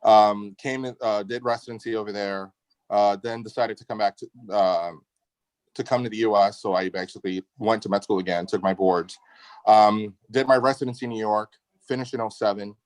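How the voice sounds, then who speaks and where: A man's voice: fairly low pitch, steady tone, fairly quick, clear, resonant tone, dry recording, poor audio. A middle-aged man from the Dominican Republic speaking informally and emphatically as a surgeon in a casual medical discussion. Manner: informal, opinionated, emphatic with slangy asides. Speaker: endometriosis surgeon, guest speaker. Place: video call.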